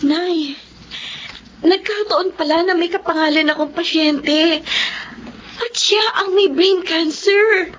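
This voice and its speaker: A woman's voice: very high-pitched, slow pace, a little hiss or hum. A Filipino woman playing a devoted, emotional daughter and elder sister in a radio drama. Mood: tearful joy. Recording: muffled drama audio with loud music bed.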